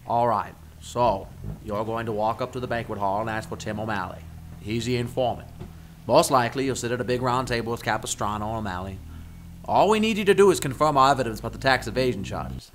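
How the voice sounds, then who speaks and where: Federal agent, male actor, mid-range voice, commanding and brisk-mannered old-time radio crime acting. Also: medium pitch, a bit bright, slight background noise, close to an everyday voice. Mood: briefing.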